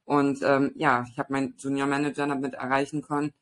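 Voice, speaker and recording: Raspy-voiced woman, eager and halting. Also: rumbling low, little pitch movement, slight background noise. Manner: enthusiastic, hesitant with fillers and tag questions. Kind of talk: personal testimony in casual conversation.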